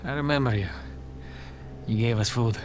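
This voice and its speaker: Actor as a stoic Russian former prisoner. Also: male voice, medium pitch, normal speed, noisy background, natural voice. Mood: reserved. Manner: curt, solemn. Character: stoic, terse, grieving freed Russian prisoner of war. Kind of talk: naturalistic audio drama acting.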